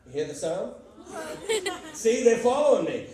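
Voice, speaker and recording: A man's voice: very high-pitched, wildly swooping pitch, a little slow. A man from Boston with a Boston accent doing a stand-up comedy set, telling brash, punchy stories and bantering with the audience. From Boston, USA. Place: comedy club stage. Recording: echoey, distant club recording. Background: crowd laughter and noise.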